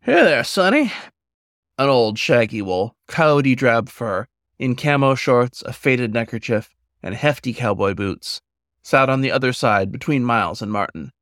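A man reading his own fiction as a narrator, in a measured, literary way. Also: mid-range voice, fairly bright tone, no echo, clean studio sound. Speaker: author reading own story. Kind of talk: scripted story narration.

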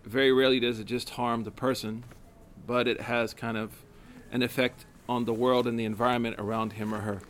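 Deep-voiced man with a cold, teaching. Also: slight background noise. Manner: conversational, with many fillers and restarts. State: has a cold. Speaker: religious study circle teacher. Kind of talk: casual religious lecture. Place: community center.

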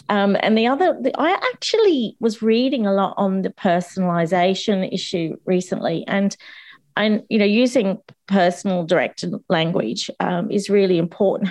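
A woman speaking as a guest on a discussion panel, candid and chatty, with a voice still slightly croaky from a cold. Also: on the low side, darkish tone. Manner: candid and chatty, with frequent restarts and laughs.

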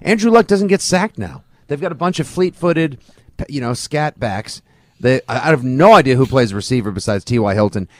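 A man with a mid-pitched voice chats casually about football as a podcast host. His delivery is lively and swings widely in pitch, with colloquial, emphatic phrasing. The sound is close and dry. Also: normal speed, no echo. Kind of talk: casual football analysis chat.

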